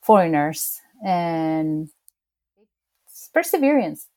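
A woman's voice: sits low, very animated, slow pace, darkish tone. A woman from Chile with a strong Chilean Spanish accent, Spanish being her first language, telling stories in a chatty, casual conversation. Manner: chatty storyteller, restarts and laughs. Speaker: interviewee.

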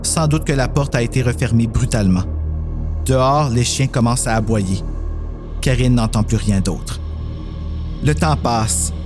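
A man's voice: medium pitch, a little slow, pauses often, over music. A man narrating a dramatized horror story as host and storyteller.

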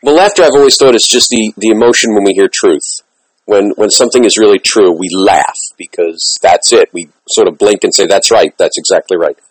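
A man's voice: on the low side, brisk, slightly rough, no room sound, poor audio. A man hosting a radio interview, chatty and enthusiastic, often restarting his phrases. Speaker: interview host.

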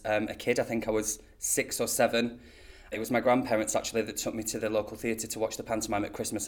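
A British man, an actor being interviewed, explaining things with enthusiasm. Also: lowish voice. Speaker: pantomime dame actor, interview guest.

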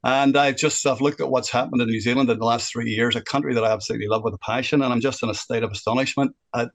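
A sixty-something man with a Northern Irish accent holds forth on a radio phone-in. He is earnest and opinionated and speaks in a middle-pitched voice. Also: steady tone, fast talker. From Northern Ireland. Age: 60s. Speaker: radio guest.

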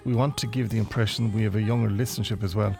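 Bossy Irish late-night radio presenter. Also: male voice, on the low side, fairly even intonation, racing, vocal fry, darkish tone. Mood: bossy, dry. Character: male late-night love-song radio presenter, self-important, put-upon. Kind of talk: comedy radio play, local radio DJ patter.

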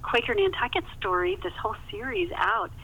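Animated, high-voiced older American woman, muffled line. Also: fairly quick, creaky. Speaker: author being interviewed. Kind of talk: conversational podcast interview.

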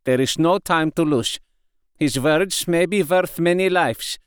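A man voicing a stern, grave older male professor in an audiobook, measured and serious. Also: fairly high pitch, clean tone, dry recording, clean studio sound, voice pushed up, natural voice. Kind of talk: audiobook character reading.

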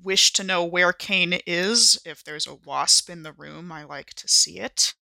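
A woman's voice: lowish voice, measured pace, vocal fry, pushed and bright, no echo. A woman playing a tabletop roleplaying game in character, with formal phrasing broken by hesitations.

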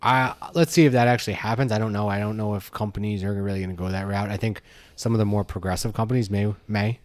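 Male podcast co-host, lower voice, matter-of-fact and reflective, dry close mic. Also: fairly even intonation, fast talker, no echo. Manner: matter-of-fact, thinks aloud. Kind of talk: casual chat.

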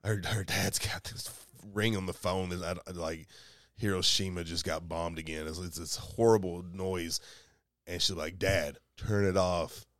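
Deep-voiced soft guy rambling on a podcast, dry studio mic, keeps restarting himself. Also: fairly even intonation, no room sound. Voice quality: soft-spoken. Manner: rambling, restarts often, quick laughs. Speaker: podcast co-host. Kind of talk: casual chat.

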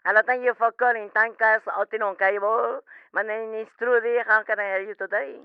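Woman playing smooth apologetic call-centre rep, phone sound. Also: fairly high pitch, fairly even intonation, brisk, dry recording, close to an everyday voice. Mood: polite, apologetic. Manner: polite, formulaic customer-service sympathy. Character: scripted, apologetic, unhelpful female cable call-centre representative. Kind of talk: audio comedy acting. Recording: band-limited telephone line sound.

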